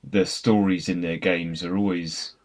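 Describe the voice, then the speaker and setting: Very low-voiced man in podcast chat. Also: flat delivery, measured pace. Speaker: podcast guest, co-host of a gaming podcast. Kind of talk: casual podcast discussion.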